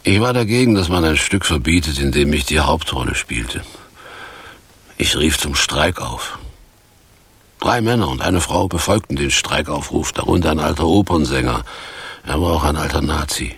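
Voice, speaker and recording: Gravelly, very deep-voiced bitter vagrant. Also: male voice, expressive, normal speed, a bit bright, dry recording, full character voice. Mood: bitter reminiscing. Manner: theatrical, sardonic, crude. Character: bitter, boastful down-and-out former East German actor. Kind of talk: German radio play acting.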